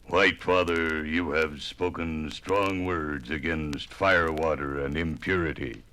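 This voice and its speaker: Very deep, slow, solemn chief. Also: male voice, fairly even intonation, pushed and bright, exaggerated voice. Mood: solemn appeal. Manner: solemn broken English, weighty phrases. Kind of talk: 1950s radio drama acting. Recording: noisy old vinyl radio recording.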